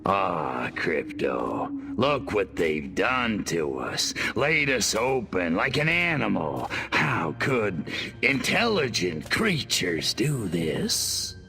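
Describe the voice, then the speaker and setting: A man voicing a cocky, wisecracking, vengeful alien invader in a video game, with a gravelly, sardonic, menacing delivery. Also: low voice, wildly swooping pitch, slow pace, bright, forceful tone, full character voice. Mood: grieving, indignant. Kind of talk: video game cutscene acting.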